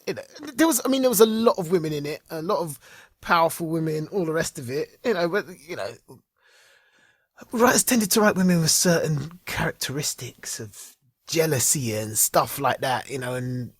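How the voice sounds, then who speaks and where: High, husky-voiced man chatting excitedly. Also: moderate pace, no room sound, clean studio sound. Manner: excitable, laughs often, repeats words. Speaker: podcast co-host. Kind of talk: casual chat.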